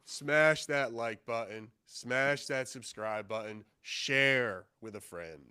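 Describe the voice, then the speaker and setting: A man co-hosting a live podcast stream, talking in a low, laid-back drawl and chatting casually with his co-host and viewers. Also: slow, bright, forceful tone, clean tone.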